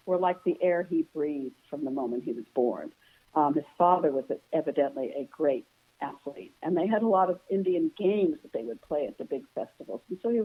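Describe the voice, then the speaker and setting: A woman biographer answering interview questions at length, reflective and measured, pausing with frequent ums as she thinks. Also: fairly low pitch. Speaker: biographer and author guest. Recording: phone-like narrow sound.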